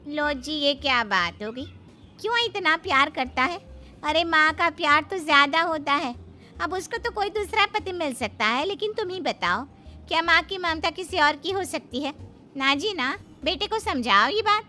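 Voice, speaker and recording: A woman's voice: sits high, lively intonation, normal speed, bright, forceful tone, faint music behind. A woman playing a meddling, gossipy older friend in a cartoon moral story, teasing and exclaiming insinuatingly. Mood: needling.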